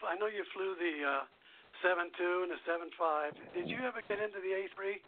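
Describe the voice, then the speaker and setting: A man's voice: high voice, fairly quick, no room sound. An older man hosting a radio show, chatting casually. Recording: tinny, narrow phone-like line.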